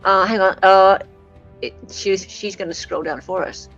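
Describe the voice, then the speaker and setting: A woman's voice: mid-range voice, fairly even intonation, a little slow, a bit bright. An Australian woman hosting a casual livestream, doing dramatic readings and commentary in a sarcastic, bantering way. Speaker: livestream host. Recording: dull lo-fi audio. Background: music.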